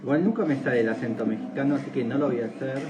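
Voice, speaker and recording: A man's voice: medium pitch, animated, rapid-fire, heavy background noise. A man hosting a casual live-stream interview, chatty and rambling, often repeating his words. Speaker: host. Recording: loud music behind.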